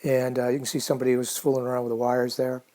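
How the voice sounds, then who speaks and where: Male hobbyist narrator: relaxed, dark-toned, fairly monotone, unhurried and informal, talking through his own work. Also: medium pitch. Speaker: hobbyist restorer narrating a project. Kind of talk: casual DIY narration.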